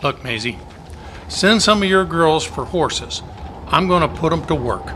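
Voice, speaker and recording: A man's voice: on the high side, lively intonation, unhurried, bright, forceful tone, slight background noise. A man playing a harried, pushy cattle-drive cowboy with folksy Old West speech in an amateur radio play. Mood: urgent, commanding.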